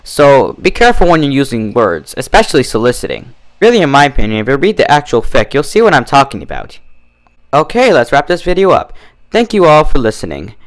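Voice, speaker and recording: A man with an expressive delivery, reading and reviewing stories in a casual, storytelling style. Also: medium pitch, fairly quick, dry recording. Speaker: fan fiction reader and reviewer.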